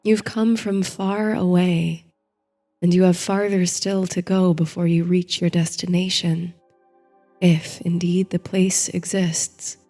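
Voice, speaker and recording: A woman narrating a guided bedtime meditation in a soothing, gentle, hushed manner, reading from a script. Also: on the low side, flat delivery, slow. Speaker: host and narrator of a sleep story series. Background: soft music.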